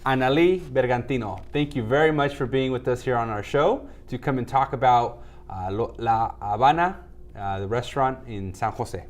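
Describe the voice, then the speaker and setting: Male talk show host, mid-pitched, friendly, hesitant with fillers. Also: normal speed. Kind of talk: TV interview.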